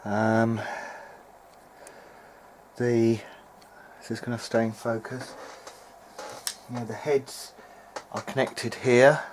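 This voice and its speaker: A man's voice: mid-range voice, level tone, drawn-out words, long pauses. A man giving casual, unscripted commentary as a hobbyist at his workbench, hesitant and tentative as he thinks aloud. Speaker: hobbyist video maker repairing vintage audio gear. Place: home workbench, speaking behind a handheld camera. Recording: clearly noisy amateur home recording.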